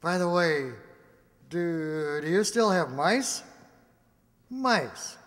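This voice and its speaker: An actor playing a smooth, placating agent who coaxes a guest with a hesitant manner in a radio play. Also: male voice, on the high side, expressive, drawn-out words, fairly bright tone, exaggerated voice. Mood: awkward, chatty. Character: smooth, coaxing male government agent plying a guest. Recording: reverberant hall.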